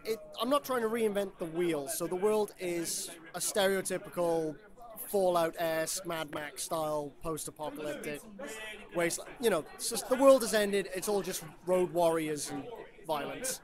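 A man's voice: on the high side, normal speed. A man from Wales, a comic creator chatting casually about his book at a convention. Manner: loose, rambling, with fillers and dry jokes. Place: convention floor. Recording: busy convention crowd behind.

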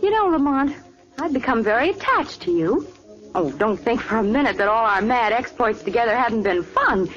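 A warm-hearted companion with a fairly high, lively voice, teasing and fond. Dull old radio sound with music beneath. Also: female voice, normal speed. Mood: affectionate. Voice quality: gentle, affectionate. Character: devoted woman companion, Margot. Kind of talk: 1940s radio acting. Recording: muffled old radio recording.

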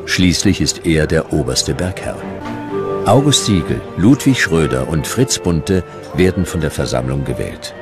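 A man with a very low voice narrates a historical documentary. His delivery is scripted narration. Music plays clearly behind him. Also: big pitch swings, unhurried.

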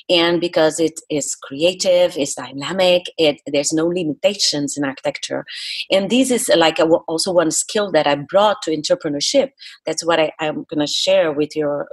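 Husky, low-voiced Brazilian woman. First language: Portuguese. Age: middle-aged. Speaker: podcast guest, speaker and coach. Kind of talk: conversational interview storytelling.

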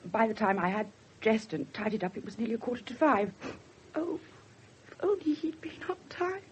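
High-voiced, distressed English mother. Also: big pitch swings. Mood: subdued, halting. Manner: halting, defensive. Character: distressed, defensive mother of a missing young daughter. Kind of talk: 1970s radio drama acting. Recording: noisy 1970s radio broadcast recording.

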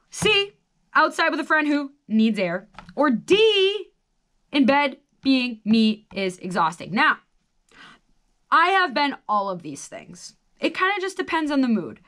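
Higher-pitched young American woman, wry and chatty. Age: 20s. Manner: wry, self-deprecating, animated asides. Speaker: host of a solo quiz video. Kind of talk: casual vlog chat, reading quiz aloud. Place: small radio studio.

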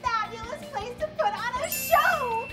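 A woman's voice: very high voice, a little slow, over loud music. A woman co-hosting a children's show, upbeat and playful, with enthusiastic, wide-eyed exclamations.

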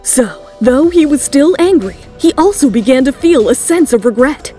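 A woman's voice: high voice, lively intonation, normal speed, mellow. A woman reading scripted, dramatic third-person narration for an audio drama. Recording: music bed under the voice. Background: background music.

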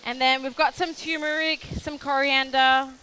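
A woman's voice: high-pitched, little pitch movement, normal speed, pushed and bright, slight background noise, rough recording. A young woman with an Australian accent giving a live cooking demonstration on a food show stage, chatty and rambling with many fillers.

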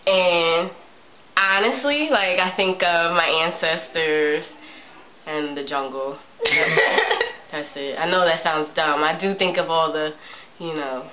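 A woman's voice: deep voice, takes it slowly, background noise. A young woman talking casually and musingly about her thoughts, full of fillers, in a bedroom. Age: young adult. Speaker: student interviewee. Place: bedroom indoors.

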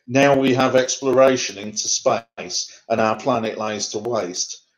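Middle-aged English poet, rhythmic rhyming delivery, home video call. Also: male voice, medium pitch, fairly even intonation, unhurried, poor audio. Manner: rhythmic rhyming recitation, then conversational with fillers. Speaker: poet guest. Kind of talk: poetry reading then interview answers. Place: home room.

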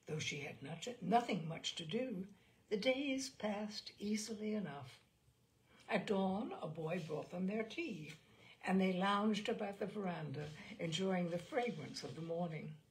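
A woman reading literary fiction aloud as a storyteller, in a measured, expressive audiobook style. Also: medium pitch.

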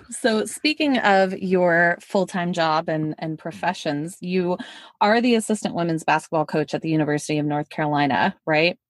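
A woman's voice: on the low side, dry recording, studio quality. A woman hosting a podcast, friendly and encouraging, asking her guest questions in casual chat. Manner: friendly, encouraging, quick backchannels. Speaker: podcast host.

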